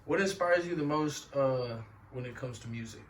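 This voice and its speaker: A man's voice: mid-range voice, moderate pace, distant mic, a little hiss or hum. A man interviewing a music producer, asking plain questions and giving short affirming replies. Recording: voice from off-mic.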